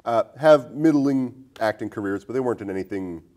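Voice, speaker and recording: A man's voice: medium pitch, brisk, a little mellow. A man hosting a casual podcast chat, with a jokey way of talking. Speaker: podcast host.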